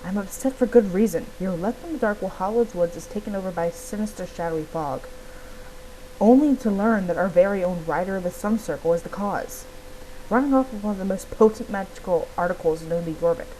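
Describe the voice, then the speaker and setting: A woman's voice: medium pitch, a little fast, warm tone, lightly acted. A woman voicing a stern, indignant male druid from a fantasy game, formal and accusatory, on a noisy recording. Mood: angry, accusing.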